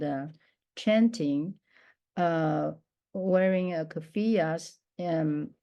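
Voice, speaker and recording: A middle-aged woman from Taiwan speaks English, her voice low and mellow. She talks slowly and haltingly, full of pauses, fillers and restarts, as she shares a personal testimony. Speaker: minister.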